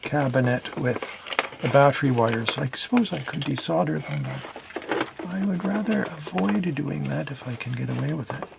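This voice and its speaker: A man calmly explaining a repair step by step, with a noisy workbench recording. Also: on the high side, moderate pace, creaky. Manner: calm, step-by-step instructive. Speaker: repair video host. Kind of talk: narrated repair tutorial.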